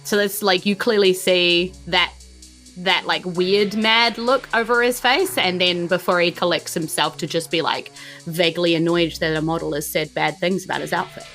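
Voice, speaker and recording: Bright-voiced New woman running a game. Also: lowish voice, moderate pace, faint music behind. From New Zealand. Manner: casual, hedging with frequent "like" and "you know". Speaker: game master. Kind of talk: improvised tabletop game narration.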